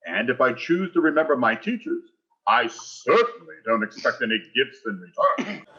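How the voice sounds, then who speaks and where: A man's voice: medium pitch, sing-song, normal speed, pushed and bright. A pompous school principal acted by a man in an old-time radio sitcom. His delivery is florid and slyly wheedling, swinging up and down with great animation. The sound is slightly muffled and dull. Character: pompous, wheedling, greedy male school principal, falsely magnanimous. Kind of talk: 1940s radio sitcom acting.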